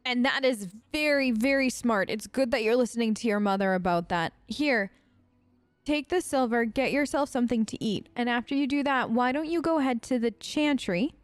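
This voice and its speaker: A woman giving casual, chatty role-play commentary over a video game, playful and gentle, often hesitating and tagging on questions. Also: on the high side, normal speed, clear, resonant tone, dry recording. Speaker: gamer playing a role-play let's play.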